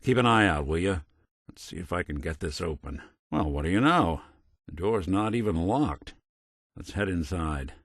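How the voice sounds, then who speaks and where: A man's voice: sits low, animated, moderate pace, no echo, clean studio sound. A man playing a world-weary android private detective in a video game, tough but kind, with wry, clipped hardboiled phrasing. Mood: steady, reassuring. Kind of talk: noir detective game dialogue.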